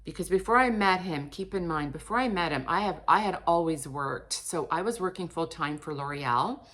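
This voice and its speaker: Low-voiced woman in her late fifties, candid. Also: expressive, moderate pace, fairly bright tone. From Portugal, emigrated with parents. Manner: candid, conversational, rambling with self-corrections. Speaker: vlogger and author. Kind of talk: casual personal vlog to camera.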